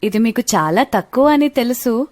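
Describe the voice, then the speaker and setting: A woman's voice: fairly high pitch, expressive, normal speed, darkish tone, natural voice. A woman voicing a humble, thrifty young working wife, polite and earnest, in a scripted moral story.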